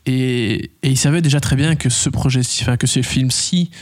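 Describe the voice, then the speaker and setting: A man's voice: medium pitch, normal speed. A man co-hosting a film chat, a journalist who thinks aloud as he talks. His opinions come with dry, wry asides. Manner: chatty, many fillers and restarts, wry asides. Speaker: film podcast co-host, film journalist. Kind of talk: casual chat about upcoming films.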